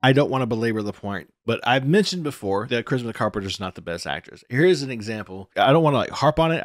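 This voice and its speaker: A man's voice: medium pitch, dry recording. Man giving emphatic commentary, close dry mic. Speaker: host of a TV commentary show. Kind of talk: critical episode commentary, conversational. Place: small indoor home studio. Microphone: close microphone on a stand, headset worn.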